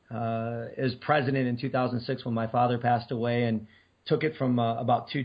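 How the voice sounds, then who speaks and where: Hoarse, scratchy middle-aged man. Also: medium pitch. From Detroit, Michigan. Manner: relaxed, many uh fillers and restarts. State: hoarse from a cold. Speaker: guest business owner. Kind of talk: interview answers. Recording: dull lo-fi remote audio.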